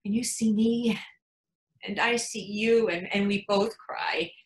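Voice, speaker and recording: A woman acting a tender, excitable new mother in her thirties, telling her baby a story in an intimate, halting theatrical monologue. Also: medium pitch, echoey room, natural voice, low-quality recording. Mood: awed, tender. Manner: emotive, halting, intimate storytelling with dramatic swells.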